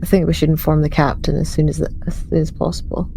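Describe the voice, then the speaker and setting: A woman's voice: deep voice, level tone, quick pace, creaky, mellow, slight background noise. A woman improvising in-character lines in a tabletop game, speaking firmly and matter-of-factly.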